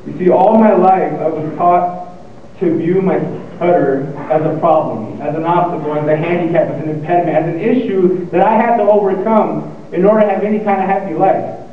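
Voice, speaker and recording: A young man giving a motivational speech to an audience, speaking with a stutter and pausing, repeating words as he goes. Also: high-pitched, a little hiss or hum. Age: young adult. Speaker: student speaker. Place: lecture hall. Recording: echoey hall, tinny and far off.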